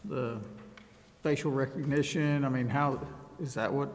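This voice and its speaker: A man's voice: mid-range voice, steady tone, measured pace. Guy at a council meeting, fumbling his question, mid voice, hall echo. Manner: searching, hesitant questioning. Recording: reverberant council chamber.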